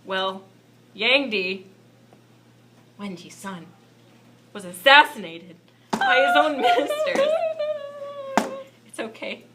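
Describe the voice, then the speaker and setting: A woman's voice: mid-range voice, sing-song, slow, pauses often. A woman playing a patient puppet history teacher, delivering a scripted lesson to an unruly class in an amateur show. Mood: soothing, narrating. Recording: faint background noise, amateur recording.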